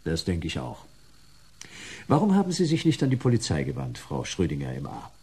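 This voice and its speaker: An actor playing a hard-boiled private detective in a German sci-fi radio play, curt and drily sarcastic. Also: male voice, very deep voice, huge pitch swings, fairly quick, a little mellow, breathy edge, heavily acted. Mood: dry, needling.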